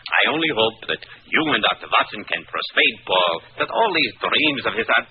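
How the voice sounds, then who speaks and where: A man's voice: lowish voice, big pitch swings, normal speed, slight background noise. An actor playing a hearty, down-to-earth nobleman's cousin, jovial and confident, in 1940s radio drama style. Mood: dismissive. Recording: muffled old radio broadcast.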